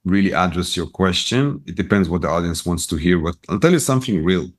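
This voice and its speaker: A middle-aged man from Israel with an Israeli accent, a guest telling business stories in a lively way with many restarts. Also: sits low. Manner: animated storyteller, quick bursts, many restarts. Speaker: guest, retail business owner. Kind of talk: casual storytelling interview.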